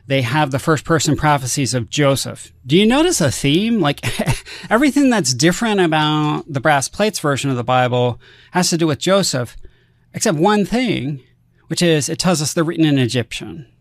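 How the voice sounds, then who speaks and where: A man's voice: on the high side, lively intonation, normal speed, no room sound, studio quality. Man explaining, rhetorical questions. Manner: rhetorical questions, builds arguments step by step. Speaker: guest scholar. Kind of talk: explanatory podcast conversation.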